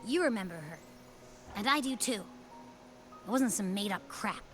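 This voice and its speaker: A woman voicing a peppy, loyal young girl companion in a video game, with a casual, slangy, expressive way of talking. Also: mid-range voice, moderate pace, soft background music, natural voice. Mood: insistent, earnest. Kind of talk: video game story dialogue.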